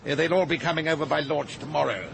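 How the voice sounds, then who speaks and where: An actor playing an urbane, world-weary ailing gentleman in a British radio drama, with a drily teasing manner and a British accent. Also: on the high side, quick pace, vocal fry, noisy background. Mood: arch, amused. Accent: British English.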